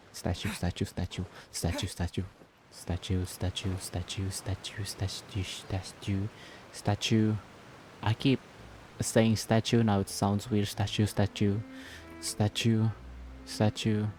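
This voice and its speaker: A man's voice: on the low side, fairly even intonation, measured pace, a little mellow, a little hiss or hum. A man doing casual, gushing playthrough commentary, often repeating himself as he reacts to the story. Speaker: gameplay video creator.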